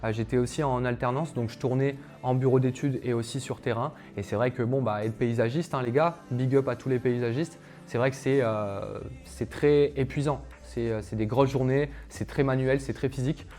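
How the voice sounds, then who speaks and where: A man's voice: mid-range voice, steady tone, normal speed, hardly any pauses, darkish tone, faint music behind. A young man, a calisthenics coach, telling his personal story to camera in a casual, chatty way, with lots of fillers and asides to viewers. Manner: chatty, filler-heavy, addresses viewers directly.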